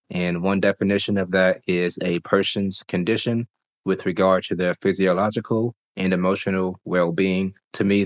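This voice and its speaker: Low, flat-voiced man recovering from a cold. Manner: calm, reflective, thinks aloud with fillers. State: getting over a cold. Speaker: podcast host. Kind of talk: solo podcast talk.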